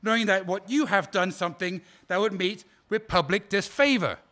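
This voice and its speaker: A man's voice: high voice, normal speed, bright, forceful tone. A man playing a prosecuting officer at a war crimes trial, cross-examining a witness in a probing, sarcastic and formal way. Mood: cold. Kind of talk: audio drama acting.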